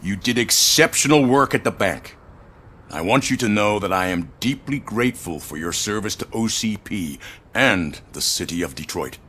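An older man playing a frail, courtly, calculating corporate chief in a video game, measured and polite. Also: lowish voice, lively intonation, pushed and bright, slightly rough, heavily acted. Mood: wistful, gracious. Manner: measured, polite, paternal with veiled menace. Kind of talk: cinematic video game acting.

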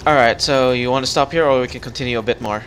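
Bright-voiced man guiding a co-op game. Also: medium pitch, fast talker, slight background noise. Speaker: channel host playing co-op. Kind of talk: casual gaming chat.

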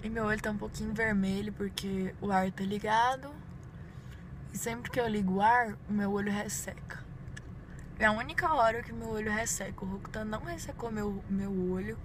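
A woman's voice: mid-range voice, steady tone, moderate pace. A Brazilian woman in her twenties chatting informally to viewers inside a parked car, busy with her makeup as she talks. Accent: Brazilian Portuguese. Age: 20s. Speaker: beauty vlogger. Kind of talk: casual chat to viewers while doing makeup. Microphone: phone at arm's length. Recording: clear vehicle and ambient noise.

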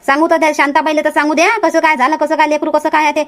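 A woman acts out an eager village woman from a homemade comedy cartoon. She is outspoken and talks quickly in a high voice. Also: level tone, fairly bright tone. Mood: scolding.

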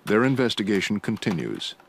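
A man narrates a broadcast documentary in a measured, steady way. His voice sits on the lower side, and the read is calm and scripted. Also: creaky, a little hiss or hum.

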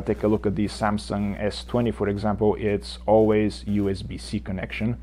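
Slow, methodical man, Bulgarian native. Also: fairly low pitch, a little mellow. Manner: slow, methodical explaining with frequent uhs. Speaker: woodshop channel host. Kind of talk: unboxing and gear demo. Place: cluttered home workshop.

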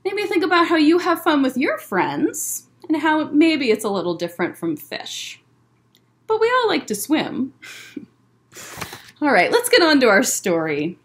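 A woman's voice: high-pitched, big pitch swings, measured pace. A young adult woman hosting a children's story time, explaining things simply as she chats and reads aloud. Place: library room with bookshelves, indoors. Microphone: webcam or laptop at desk distance.

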